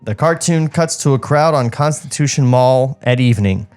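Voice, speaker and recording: A man's voice: medium pitch, normal speed, darkish tone, clean tone, faint music behind. A man co-hosting a podcast, narrating screen directions from a script with casual asides. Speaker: podcast co-host reading a script.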